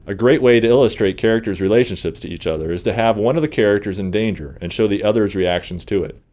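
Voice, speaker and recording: A man talks rapidly in a fairly low voice. He is a game developer delivering scripted commentary. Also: a little mellow.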